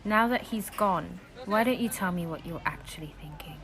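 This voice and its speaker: A woman's voice: on the low side, normal speed, fairly bright tone, a faint crowd. A woman playing a knowing, secretly scheming young guide in an audio drama, with a probing, insistent manner.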